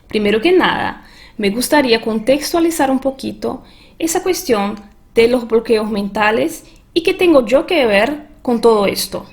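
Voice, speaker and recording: A woman's voice: fairly high pitch, expressive, moderate pace. A woman from Brazil, a native Portuguese speaker with a Brazilian accent, giving an earnest online master class as a language coach. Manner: earnest, reflective first-person storytelling.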